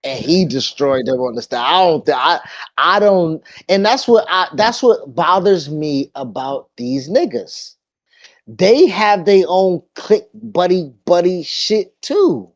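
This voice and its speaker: A man's voice: high-pitched, animated, unhurried. A man co-hosting a podcast, arguing his point emphatically in a casual debate about rap. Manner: emphatic and argumentative, quoting lyrics. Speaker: podcast co-host.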